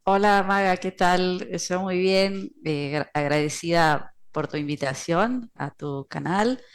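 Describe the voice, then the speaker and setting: A woman from Argentina, a financial analyst speaking as an interview guest in a measured, explanatory way with many hesitations. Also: on the low side, clear, resonant tone. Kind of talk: interview conversation.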